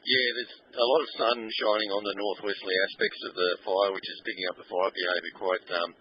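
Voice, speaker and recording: A man's voice: on the low side, fast talker, husky. A man with an Australian accent giving a matter-of-fact firefighter's report over two-way radio, with some hesitant phrasing. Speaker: firefighter reporting on the fire ground. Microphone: radio scanner feed. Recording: muffled noisy two-way radio, clicks.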